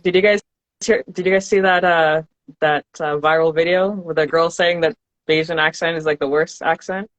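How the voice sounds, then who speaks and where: A chatty host runs a live podcast chat in a mid-pitched voice. The sound is dry and close. The host laughs easily and breaks off to read viewer comments. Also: steady tone, normal speed, fairly bright tone, dry recording, muffled recording. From Western Canada.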